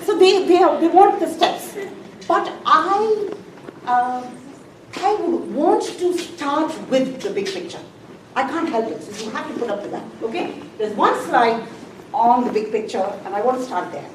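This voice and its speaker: A woman's voice: high-pitched, animated, unhurried. A woman speaker giving a chatty, self-deprecating talk on composting in a library room. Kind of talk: informal presentation. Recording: echoey room, far from the mic, noisy.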